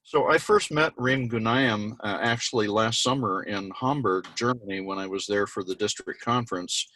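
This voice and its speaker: A man introducing a keynote speaker on a webinar from a mobile office, talking in a halting way with many fillers. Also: fairly low pitch, bright, forceful tone, dry recording, low-quality recording. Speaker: event host introducing a speaker. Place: mobile office with street noise around.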